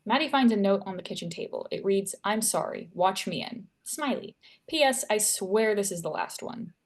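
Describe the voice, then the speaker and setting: A woman's voice: mid-range voice, expressive, normal speed. A woman narrator reading screenplay stage directions at a table read.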